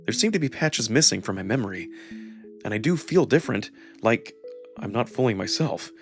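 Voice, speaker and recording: A man's voice: fairly low pitch, expressive, normal speed, vocal fry. A man narrating a first-person story dramatically, as a voice performer reading a visual novel. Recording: music behind the voice.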